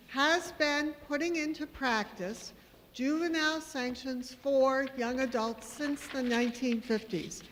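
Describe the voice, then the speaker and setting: A woman's voice: high voice, a bit bright. A woman moderating a panel, introducing speakers formally. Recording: reverberant hall, faint noise.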